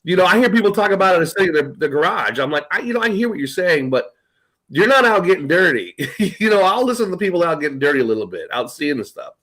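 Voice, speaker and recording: A middle-aged man hosting a casual podcast chat, talking in an animated, loose way and swearing freely. His voice sits a bit high for a man. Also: fast, rough recording. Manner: animated, swears, laughs often. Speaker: podcast host.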